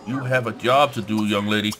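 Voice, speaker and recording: A man giving casual let's play commentary, haltingly thinking aloud and reading bits of game text. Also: on the low side, steady tone, a bit bright. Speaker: gaming let's play host. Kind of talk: casual gameplay commentary, muttering to himself.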